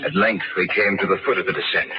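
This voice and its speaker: Man acting a sly, low-voiced murderous nobleman, old radio. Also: fast talker, music in the background, close to an everyday voice. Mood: ominous storytelling. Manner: smooth, politely solicitous, sinister. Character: sly murderous nobleman feigning courteous concern for his victim. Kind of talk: old-time radio drama acting, first-person narration. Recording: noisy, muffled vintage radio recording.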